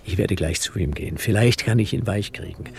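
An actor in a German radio drama playing a determined, controlled man suspected of murder, questioning tersely in a husky, gravelly voice. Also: fairly low pitch, brisk. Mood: urgent, resolved.

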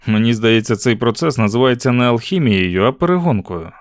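A man's voice: mid-range voice, a little fast, no room sound, close to an everyday voice. An earnest young man on a mission in a storybook, voiced by a male narrator. He speaks politely, with boyish charm, a little above a middle register. The sound is dry and close.

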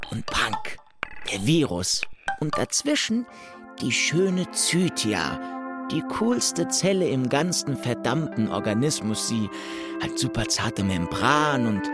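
An actor playing a playful big brother telling a silly story with theatrical flair and youthful slang in a radio drama. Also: male voice, mid-range voice. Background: loud music.

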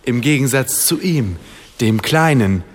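A man's voice: medium pitch, lively intonation, unhurried, slight background noise, natural voice. An actor playing an earnest, self-pitying language-course man, mixing English and stilted German in a radio play. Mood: instructive. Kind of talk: radio play dialogue in language-lesson manner.

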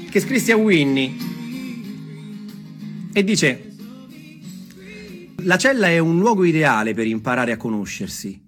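A man telling stories and reading texts aloud as a theatre performer, expressive and deliberate in his delivery. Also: a little high. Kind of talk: storytelling with dramatic readings. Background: music.

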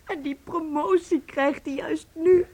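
An actress playing an anxious, flustered, emotional young wife in a radio play, breathy and halting. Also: female voice, sits high, steady tone, darkish tone. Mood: tearful joy.

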